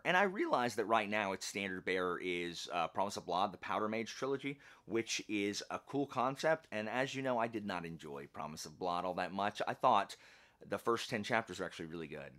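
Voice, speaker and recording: A man's voice: deep voice, normal speed. A man hosting a book channel, chatting casually and emphatically in colloquial speech with self-deprecating humour. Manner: animated, emphatic. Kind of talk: casual chatty vlog talk about books.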